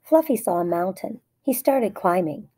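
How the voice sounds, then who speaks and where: A woman's voice: mid-range voice, expressive, moderate pace, warm tone, husky. A woman narrating a children's story aloud in short, plainly phrased sentences. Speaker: storybook reader.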